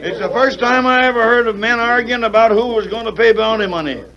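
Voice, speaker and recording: An actor playing a folksy frontier sheriff keeping order in a 1940s radio western. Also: male voice, very high-pitched, quick pace. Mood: exasperated, bossy. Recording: dull 1940s radio recording, crowd behind.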